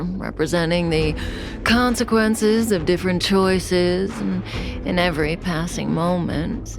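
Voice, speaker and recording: An actress playing a calm, enigmatic, seductive woman in a video game cutscene, speaking deliberately and with assurance. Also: lowish voice, gravelly, heavily acted. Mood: cryptic, calm.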